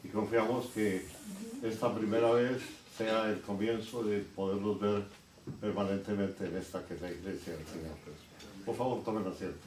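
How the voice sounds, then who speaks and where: Low-voiced man preaching in echoey church. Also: steady tone. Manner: slow, earnest, with pauses and fillers. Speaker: lay preacher leading the service. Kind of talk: church welcome and sermon. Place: church sanctuary. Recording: echoey church, distant mic, noisy.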